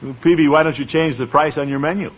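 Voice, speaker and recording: A man playing a blustery, bumbling middle-aged uncle with a drawn-out, chuckling delivery in an old radio comedy. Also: a little high, fairly even intonation, natural voice. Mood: genial. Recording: muffled, noisy vintage radio recording.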